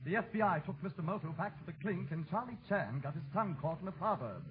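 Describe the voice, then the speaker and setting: A man's voice: high-pitched, animated, fast talker. A man playing a curt, haughty, pompous master detective in a 1940s radio comedy. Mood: grave, pompous. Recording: tinny, noisy 1940s radio recording.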